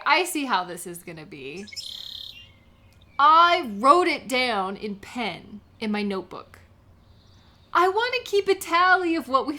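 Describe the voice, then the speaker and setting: A woman playing a supportive, affectionate best friend in a comfort audio roleplay, speaking gently and sincerely in an intimate, conversational way. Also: a little high, full character voice. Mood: amused, playful. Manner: gentle, sincere, encouraging, unhurried.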